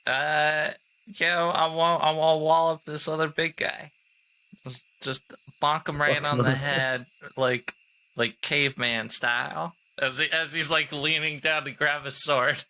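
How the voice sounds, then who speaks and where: A man's voice: fairly high pitch, level tone, measured pace, bright, forceful tone, clear, resonant tone, low-quality recording. A man chatting casually as a player in a tabletop roleplaying game, improvising what his character does.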